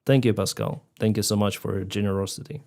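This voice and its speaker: A man's voice: fairly low pitch, fairly even intonation, fast, gravelly, darkish tone. A man from Crimea with a Ukrainian accent, whose first language is Russian, chatting casually on a live stream, reading out donations and laughing often. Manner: chatty, laughs often, reads out donor names.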